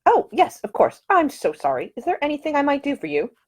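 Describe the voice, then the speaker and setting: A woman's voice: high voice, huge pitch swings, a little fast, darkish tone, pitched above the performer's own voice. A woman voicing a cheerful, talkative, well-bred young Englishwoman traveller in an audiobook, eager and solicitous, with an upper-class English accent. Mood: concerned, eager.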